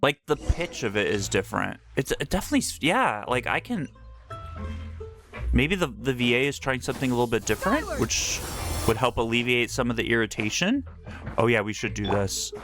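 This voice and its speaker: A woman giving casual gameplay commentary in a very low voice. Her intonation is lively and her reactions are wry and slangy, with faint game music behind her. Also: fairly quick. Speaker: streamer.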